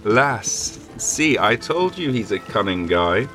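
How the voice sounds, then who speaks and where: A haughty old swordsman drawls his dismissive verdicts in a lowish voice, as a man reading the story puts him on. He sounds grumpy and sure of himself. Faint music sits behind. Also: very animated, slow pace, bright, forceful tone, full character voice. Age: older.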